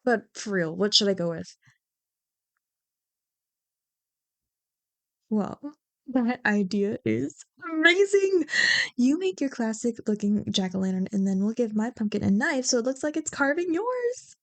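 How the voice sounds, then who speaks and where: A woman plays a bubbly young childhood friend with a fairly high voice, recorded very dry. She banters and teases playfully, chatting casually as if to one listener in an ASMR roleplay. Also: sing-song, moderate pace, no echo. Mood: playful, teasing.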